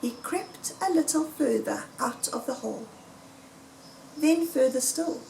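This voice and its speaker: A woman reading a children's story aloud in a deliberate, dramatic, suspenseful storytelling manner. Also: high voice, vocal fry, a little mellow, slight background noise. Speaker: storybook reader. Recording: roomy echo, distant microphone.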